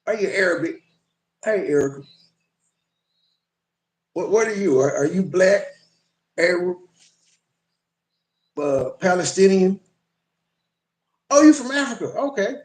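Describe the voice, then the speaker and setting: A man's voice: high voice, expressive, unhurried, pauses often, echoey room. A man hosting a livestream, rambling and profane as he chats with viewers and reacts to clips. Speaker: livestream host. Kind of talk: casual live commentary.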